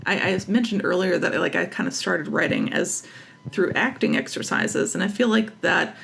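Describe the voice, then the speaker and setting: A woman interviewed as a writer, talking casually and haltingly as she searches for words and corrects herself. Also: fairly low pitch, creaky, fairly bright tone.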